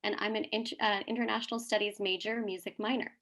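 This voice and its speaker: A woman's voice: medium pitch, fairly even intonation, quick pace, fairly bright tone. A woman in her twenties from California with an American accent, giving a scripted student presentation. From California, United States. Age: 20s.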